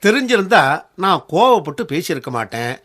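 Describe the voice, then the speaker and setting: A man's voice: sits high, sing-song, a little fast, a bit bright, heavily acted. A man playing a middle-aged, dignified and conciliatory uncle in a Tamil radio drama, speaking with earnest emphasis. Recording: faint music bed under the voice.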